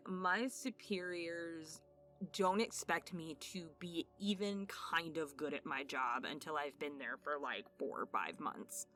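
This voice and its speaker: A woman's voice: deep voice, a bit bright. A woman host giving a casual, candid personal update to listeners in an informal, giggly way. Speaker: ASMR channel host. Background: music.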